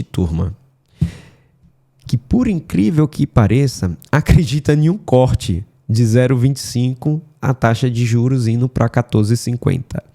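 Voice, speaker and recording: A man with a Brazilian Portuguese accent, hoarse from a cold, talking casually through a weekly market analysis and checking in with viewers often. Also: medium pitch, warm tone. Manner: casual, addresses viewers often with filler tags.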